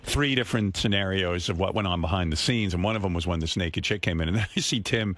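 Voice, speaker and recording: A man's voice: low-pitched, fast talker, fairly bright tone. A man hosting a radio show, telling a story in a wry way and playing clips. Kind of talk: casual chat.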